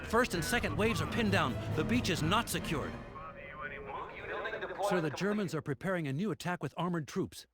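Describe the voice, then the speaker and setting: A man playing a dutiful military aide in a war game, giving brisk, formal battlefield reports. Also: sits high, expressive, over music. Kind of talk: video game voice acting, radio-room reports.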